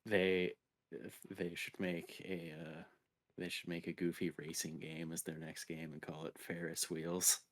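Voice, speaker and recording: A low-voiced man in a relaxed podcast conversation, with a close, dry sound. He speaks as a co-host, informal and opinionated. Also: a little slow, no echo. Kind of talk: casual video game podcast chat.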